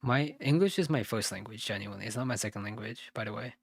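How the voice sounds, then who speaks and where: A man's voice: on the low side, fast talker, creaky, breathy edge, no echo. A man from the United States working through math questions live, thinking aloud, muttering and correcting himself as he goes. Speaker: SAT tutor streaming live.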